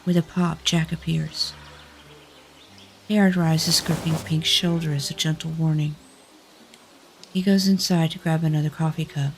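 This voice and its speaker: A woman's voice: low-pitched, flat delivery, measured pace, pauses often. Woman narrating a fantasy story. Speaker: narrator and lead actor.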